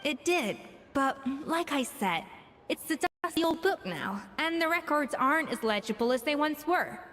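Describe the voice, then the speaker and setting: A woman's voice: high-pitched, lively intonation, normal speed, fairly bright tone, in a large hall. A woman voicing a composed female head chef who speaks in a matter-of-fact, dryly dismissive way in a game.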